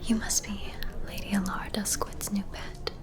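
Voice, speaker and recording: A woman's voice: mid-range voice, flat delivery, normal speed, lightly acted. A woman voicing a haughty, seductive, ageless vampire socialite in a coy, condescending whisper for an ASMR roleplay. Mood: coy, welcoming.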